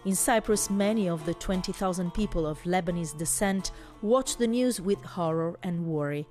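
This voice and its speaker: A woman's voice: fairly low pitch, normal speed, a little mellow. A woman reporter reading scripted narration for a news report. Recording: music bed behind voice. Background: music.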